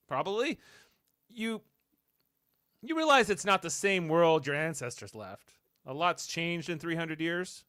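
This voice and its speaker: Resolute starship captain played by a man, fairly high bright voice, earnest and measured, sci-fi radio play. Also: dry recording, clean studio sound, exaggerated voice. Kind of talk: amateur radio theatre acting.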